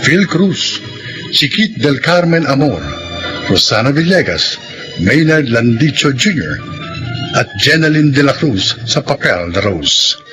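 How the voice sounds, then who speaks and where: A man's voice: medium pitch, sing-song, takes it slowly, music in the background. A man with a Filipino accent hosting a radio drama with scripted narration. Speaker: drama program host.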